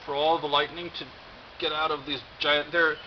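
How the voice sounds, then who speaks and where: An American man giving a casual, rambling show-and-tell with wry, deadpan humour and a halting delivery. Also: on the high side. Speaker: video host. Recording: dull lo-fi audio, loud background noise.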